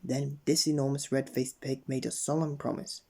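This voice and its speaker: A man narrating a children's bedtime story in an expressive read-aloud manner. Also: mid-range voice, normal speed. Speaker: storybook reader.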